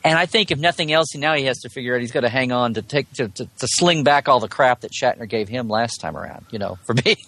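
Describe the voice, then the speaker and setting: Guy on a podcast riffing casually, wry n rambly, medium pitch, really dry mic. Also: fast talker, few pauses, pushed and bright, no echo. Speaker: podcast guest, Star Trek commentator. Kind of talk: casual chat, speculating about sci-fi news.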